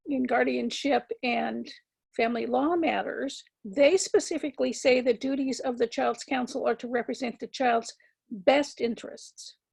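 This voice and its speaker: A woman with a scratchy voice giving a measured, emphatic legal lecture to attorneys, with frequent ums and words repeated for stress. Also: sits high, creaky, breathy edge. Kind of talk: continuing-education lecture.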